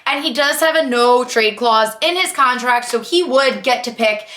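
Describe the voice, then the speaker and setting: A young woman giving casual, opinionated sports commentary in a chatty, expressive, emphatic way with slangy asides, recorded in an echoey room at home. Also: a little high, normal speed. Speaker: sports vlogger and podcast host.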